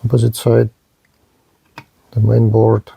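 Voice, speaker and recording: Slow, careful man demoing a radio. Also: fairly low pitch, monotone, vocal fry, dark tone, husky, no room sound. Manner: halting, careful, with pauses and fillers. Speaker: radio reviewer. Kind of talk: slow hands-on product demo narration.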